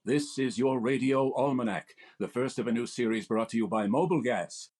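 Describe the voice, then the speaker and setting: An actor playing a formal, smooth-talking male radio showman hosting a program. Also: mid-range voice, normal speed, a little mellow, lightly acted. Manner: smooth formal announcer delivery. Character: grand, resonant male radio showman host. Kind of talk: old-time radio comedy recreation.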